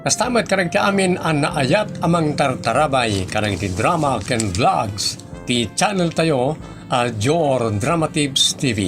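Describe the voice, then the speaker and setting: A man announces a comedy drama with a Filipino Ilocano accent. His voice is high for a man and slow-paced, rising and falling freely as he reads the scripted introduction. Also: fairly bright tone. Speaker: announcer and host.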